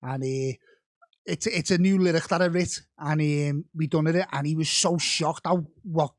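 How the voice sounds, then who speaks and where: Squeaky-voiced Liverpool man in his forties. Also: moderate pace, no echo, clean studio sound. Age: 40s. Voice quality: squeaky high voice. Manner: swears a lot, excitable, laughs often. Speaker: podcast guest, DJ and MC. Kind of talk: casual chat.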